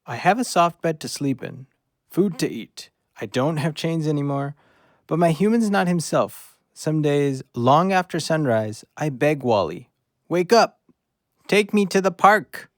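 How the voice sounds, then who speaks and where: Earnest, higher-voiced loyal rescue dog. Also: male voice, lively intonation, measured pace, no echo, studio quality. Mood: eager, pleading. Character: loyal, eager rescue dog devoted to his human. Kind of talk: audio drama acting.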